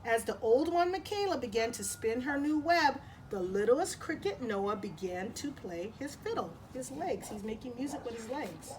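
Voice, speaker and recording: A woman storyteller reading expressively to children, pausing to explain and repeat things in simple words. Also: on the high side, animated, a little mellow, a little hiss or hum, rough recording. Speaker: storyteller and host. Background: music.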